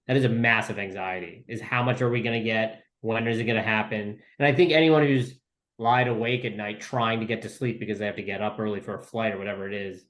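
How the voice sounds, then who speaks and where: A man's voice: lowish voice, low-quality recording. A man talking casually as a writer being interviewed, in an informal, rambling way. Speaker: writer and podcast guest.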